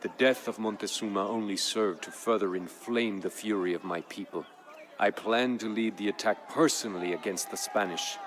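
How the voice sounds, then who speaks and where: A man playing a proud, somber Aztec emperor, telling of his people's war in grave, measured, formal game narration. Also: fairly low pitch, a little hiss or hum, lightly acted. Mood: proud, resolute. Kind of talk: solemn scripted narration in character. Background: music.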